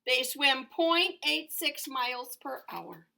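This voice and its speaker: Bright, high-voiced woman teaching young children. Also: takes it slowly, gravelly. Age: middle-aged. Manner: animated questions and answers, simple explanatory phrasing for children. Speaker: elementary school teacher. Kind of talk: lesson for young children, read to camera.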